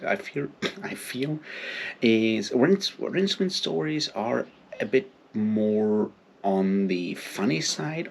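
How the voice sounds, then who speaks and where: A man giving an informal, unscripted book discussion as a video host, halting as he thinks aloud. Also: deep voice, creaky, a bit bright, slight background noise.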